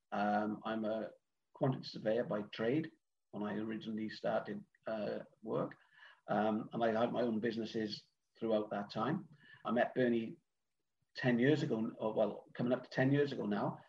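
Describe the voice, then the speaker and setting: A middle-aged Welsh man from Cardiff chatting casually as a podcast guest, rambling with lots of ums, uhs and restarts. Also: fairly low pitch. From Wales. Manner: rambling, hesitant, many fillers and restarts. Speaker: guest, event organiser. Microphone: remote online call. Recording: dull lo-fi online call audio.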